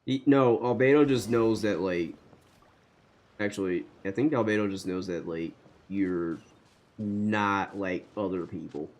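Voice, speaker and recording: A man's voice: lowish voice, a little slow, a little mellow. A man live-streaming a game in casual chat, blunt, sweary and joking.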